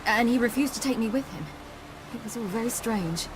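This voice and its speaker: A woman's voice: a little high, brisk, noisy background, natural voice. Woman playing a candid young adventuress. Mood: suspicious. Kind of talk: video game voice acting.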